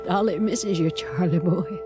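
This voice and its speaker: An actress playing a tender, motherly frontier woman in an Old West radio drama, pleading softly in grief. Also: on the low side, unhurried, lightly acted. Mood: tender, grieving. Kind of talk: 1950s radio western acting. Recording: old radio recording, music behind.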